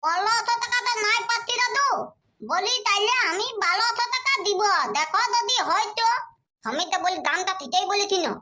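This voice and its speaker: Childlike cartoon villager, extremely high squeaky voice, bright, babyish lisp, nagging haggler, Purulia Bengali accent. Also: animated, a little fast, heavily acted. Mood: haggling. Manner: pleading, nagging village bargainer. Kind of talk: comedy cartoon dialogue.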